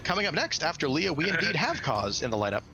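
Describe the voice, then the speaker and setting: A man's voice: fairly high pitch, very animated, quick pace, pushed and bright, a little hiss or hum. A man working as game master, narrating a tabletop game with an enthusiastic, slangy and dramatic delivery. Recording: music behind the voice. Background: background music.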